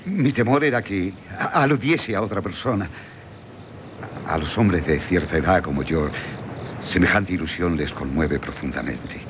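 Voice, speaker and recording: Casting: solemn, sincere older teacher in vintage radio play, very low pitch, animated melody, Castilian accent. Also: male voice, normal speed. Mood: earnest, ardent. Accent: Castilian Spanish. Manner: formal, solemn. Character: earnest middle-aged former teacher, a formal suitor. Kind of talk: 1970s Spanish radio theatre acting. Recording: old radio broadcast, low rumbling noise.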